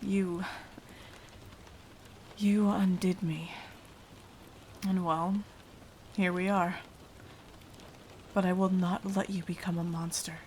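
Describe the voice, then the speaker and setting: A woman acts out a remorseful female vampire in an ASMR roleplay. Her lowish voice moves slowly and haltingly, often sinking into a hushed whisper. Light rain falls behind her. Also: level tone, slight background noise. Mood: regretful, resolute.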